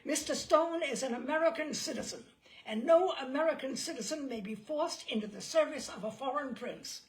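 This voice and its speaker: A woman's voice: sits high, moderate pace, voice pushed up, lightly acted. A woman voicing a composed, firm, folksy male American lawyer and orator in a storybook reading. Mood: thundering defiance. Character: cool, stouthearted American lawyer and orator, a grown man.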